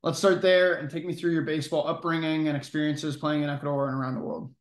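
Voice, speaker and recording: A man's voice: on the high side, little pitch movement, fast, roomy sound. A man co-hosting a sports podcast, reading a guest introduction and then asking chatty questions. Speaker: podcast co-host.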